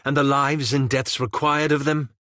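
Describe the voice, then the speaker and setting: A man's voice: medium pitch, flat delivery, moderate pace, fairly bright tone, no room sound, clean studio sound, lightly acted. An actor playing a courteous, wry and anxious scholarly male elf companion in a fantasy video game, with formal, precise enunciation. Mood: measured.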